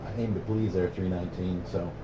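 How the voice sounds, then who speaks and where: A middle-aged American man with a lowish voice chats casually over CB radio. The audio is dull and roomy with clear noise, and he talks in a plain-spoken, opinionated way with radio slang. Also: little pitch movement, brisk. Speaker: CB radio operator hosting a radio night. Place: CB base radio station.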